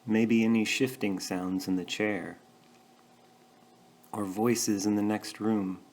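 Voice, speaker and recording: A man leading a guided meditation, speaking gently and in a measured way with pauses between short phrases. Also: fairly low pitch, steady tone. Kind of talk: guided meditation, calm instruction.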